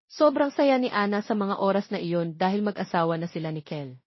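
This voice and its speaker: A woman's voice: mid-range voice, a little fast, dry recording, lo-fi sound. A woman with a Filipino accent reading a scripted romance story as an audiobook narrator.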